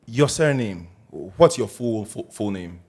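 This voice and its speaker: A man's voice: fairly low pitch, lively intonation, a little slow, a little mellow. A man, co-hosting a podcast in playful, rambling casual chat. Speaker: podcast co-host.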